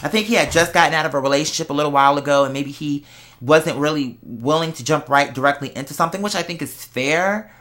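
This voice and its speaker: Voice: medium pitch, fast, a bit bright. A young adult American speaker chatting casually and candidly to followers, with slangy, emphatic phrasing. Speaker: vlogger. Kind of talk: casual confessional vlog chat to followers.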